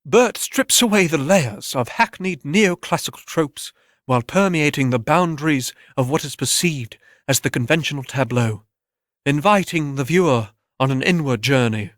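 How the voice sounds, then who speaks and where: A man's voice: fairly high pitch, expressive, moderate pace, fairly bright tone, no room sound, studio quality. A man voicing a pretentious, effusive art critic in a dramatic reading, pompous and grandiloquent. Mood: pompous, fervent.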